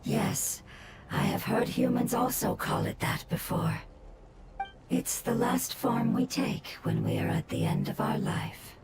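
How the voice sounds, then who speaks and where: A woman voicing a small, gentle plant spirit who speaks softly and formally, in video game dialogue over game music. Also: medium pitch, huge pitch swings, unhurried, gravelly, heavy background noise, heavily acted. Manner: soft, formal, wistful.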